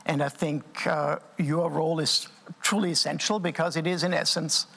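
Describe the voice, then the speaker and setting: Gravelly man in an echoey room. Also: on the high side, steady tone, normal speed, fairly bright tone. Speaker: host and interviewer. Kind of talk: opening remarks at an online talk.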